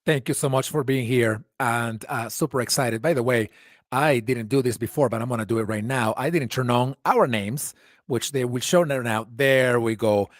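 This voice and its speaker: A man from Venezuela hosts an online panel in a relaxed, chatty way and laughs easily. He is heard through a video call mic. The sound is dry, with no room around it. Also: medium pitch, normal speed, dry recording. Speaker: panel moderator. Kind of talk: casual webinar hosting.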